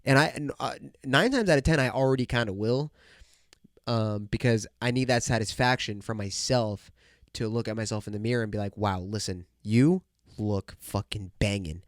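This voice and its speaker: A man's voice: medium pitch, fairly quick, no echo, clean studio sound. Congested young man hosting a rowdy podcast. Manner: hyped, joking, full of "bro" and "dude". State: congested, nasal. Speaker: podcast host. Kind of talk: casual solo podcast rant.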